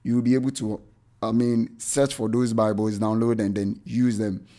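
Man rambling through a software review. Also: fairly low pitch, level tone, moderate pace. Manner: rambling, self-correcting with frequent "I mean" and "um". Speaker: tech channel host reviewing church software. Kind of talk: unscripted screen-share tutorial.

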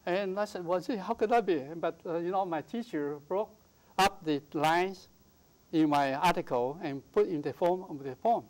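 An older man whose first language is Mandarin, a poet guest in a TV studio interview, talking in a halting way with many fillers and restarts on a lapel mic. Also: high-pitched, darkish tone, poor audio. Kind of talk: interview conversation. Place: TV studio, seated.

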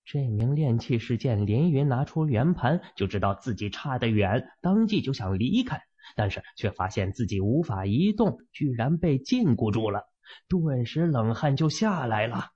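A man's voice: medium pitch, sing-song, normal speed, dark tone, slightly rough, dull audio. A man narrating fiction in a dramatic, scripted audiobook style.

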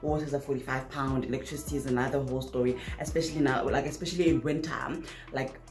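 A young South African woman with a South African accent chatting in a casual Q&A vlog, animated and quick to laugh, filling her talk with "like". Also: very deep voice, roomy sound, faint music behind. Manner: animated, laughing bursts, lots of "like". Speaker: vlogger. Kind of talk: casual Q&A chat. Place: small bedroom indoors. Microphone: laptop camera at desk distance.

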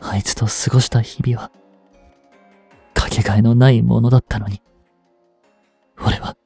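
A man in his twenties playing a reluctant, guilt-ridden young hitman in an audio drama, softly spoken and halting. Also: mid-range voice, flat delivery, gravelly, breathy edge, soft background music. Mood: brooding, sorrowful. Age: 20s. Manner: halting, subdued delivery. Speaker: voice actor. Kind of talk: Japanese audio drama monologue and dialogue.

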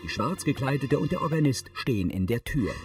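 A man's voice: medium pitch, sing-song, a little fast. Male narrator over music. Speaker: audio description narrator. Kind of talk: scripted narration.

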